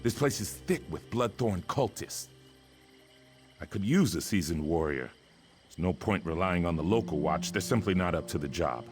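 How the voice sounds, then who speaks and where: A seasoned fantasy game lieutenant with a deep voice. He is gruff and blunt, with a dry wit. Music runs clearly behind him. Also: lively intonation, moderate pace. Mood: wary, dry. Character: gruff, dry-humoured male army lieutenant, seasoned soldier. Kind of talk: fantasy video game dialogue.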